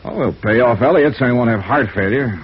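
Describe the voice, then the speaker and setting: A man's voice: medium pitch, a little fast, slightly rough, natural voice. A man playing a wry, unruffled American insurance investigator in 1950s radio noir, dry and matter-of-fact. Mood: businesslike. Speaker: lead actor in a radio detective series. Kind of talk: 1950s radio noir acting and first-person narration. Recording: muffled, hissy old radio recording.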